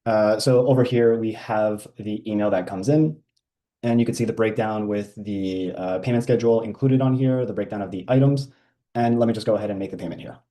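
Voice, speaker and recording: Low, mellow man giving a software demo. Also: fairly even intonation, brisk. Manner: casual, many ums and uhs. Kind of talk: screen-share software walkthrough.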